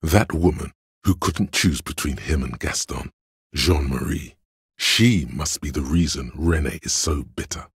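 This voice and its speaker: A man voicing the cold, dry inner logic of a detective in a video game, measured and analytical, with a gravelly, husky delivery. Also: a bass voice, dry recording, heavily acted. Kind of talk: scripted video game voice acting, second-person narration.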